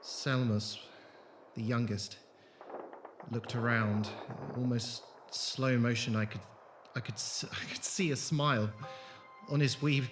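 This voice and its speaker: A man in an audio drama playing a haunted former soldier and leader, speaking in a deliberate, dramatic way. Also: medium pitch, fairly even intonation, over music, close to an everyday voice. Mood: haunted, sombre. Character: haunted, grieving male former soldier, brisk leader of travellers.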